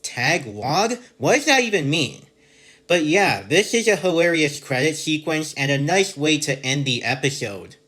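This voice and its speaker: A man from Canada with a general North American accent, hosting a review show. He reads from his notes in a measured, list-like way, in a voice pitched a little above the usual for a man. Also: expressive, a bit bright. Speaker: podcast host and episode reviewer. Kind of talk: scripted review narration.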